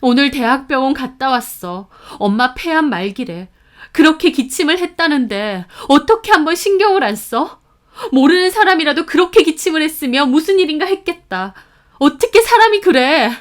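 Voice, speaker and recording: A woman voicing an outraged, distraught younger sister shouting reproaches in a dramatized story. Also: high-pitched, animated, normal speed, hardly any pauses, voice pushed up. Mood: bitter, distraught.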